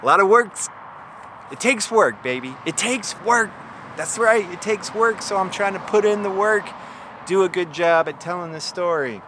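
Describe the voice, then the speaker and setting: A man's voice: high-pitched, animated, normal speed, noisy background. A man talking informally to his vlog audience as a video creator, candid and self-critical. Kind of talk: casual self-filmed vlog.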